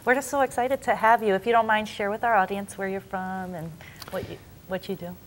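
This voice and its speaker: A woman's voice: mid-range voice, steady tone, fairly quick, a little hiss or hum, rough recording. A woman hosting a TV talk show, introducing guests and asking questions in an upbeat manner. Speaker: TV show host.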